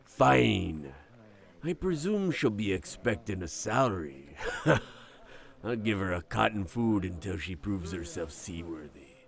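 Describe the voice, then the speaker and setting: Deep gravelly old sea captain. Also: male voice, big pitch swings, slow, full character voice. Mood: grudging, brusque. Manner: commanding, salty old-time sailor speech. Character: gruff, superstitious older sea captain, grudgingly kind. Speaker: ship captain. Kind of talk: nautical fantasy audio drama acting.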